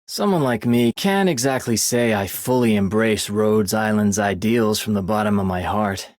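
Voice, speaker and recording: A man's voice: fairly low pitch, moderate pace, a little mellow, no room sound, lightly acted. A man voicing a blunt, guarded but loyal shield-bearing mercenary for a video game. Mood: guarded, earnest. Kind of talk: video game character voice lines.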